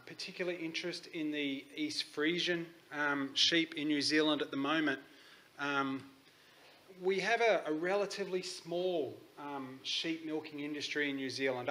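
A man's voice: a little high, pushed and bright, low-quality recording. Hesitant Australian man giving a talk. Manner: hesitant, many ums. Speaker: conference speaker. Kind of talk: conference presentation. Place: conference hall.

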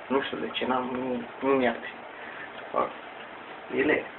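A man in his thirties from Romania rambles haltingly through a casual, improvised exercise demonstration at home, swearing mildly. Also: mid-range voice, flat delivery, creaky. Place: small room at home. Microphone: webcam mic at a distance. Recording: tinny noisy webcam audio, distant mic.

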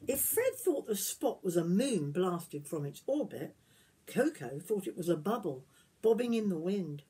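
A woman in her sixties reads a picture book aloud as a granny would to young children, adding gentle questions and asides to them. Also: on the low side, very animated, a little slow, breathy edge, low-quality recording. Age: 60s. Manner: gentle questioning, addressing young listeners directly. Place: small wood-panelled garden room, indoors.

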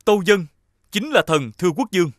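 High, lively young hero. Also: male voice, brisk. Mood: respectful. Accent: southern Vietnamese. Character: brave, earnest, kind young male folk-tale hero. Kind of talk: animated fairy-tale dialogue.

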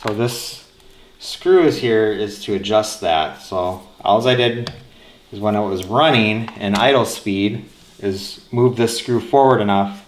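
A man's voice: lowish voice, measured pace. A man casually explaining hands-on repair work as he does it. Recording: echoey room with background noise and clicks.

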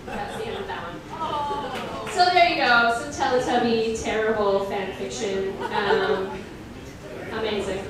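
A woman's voice: medium pitch, unhurried, crowd noise. A woman performing a live comedic reading, with wry, mocking, conversational asides to her audience. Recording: echoey room, distant mic, audience sounds.

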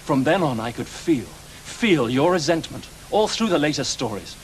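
An imperious, accusing Victorian detective. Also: male voice, on the high side, big pitch swings, moderate pace, slight background noise, poor audio. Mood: scornful, vengeful. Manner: theatrical, prosecutorial, biting. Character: accusing, wounded, imperious fictional detective confronting his author. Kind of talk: radio drama acting.

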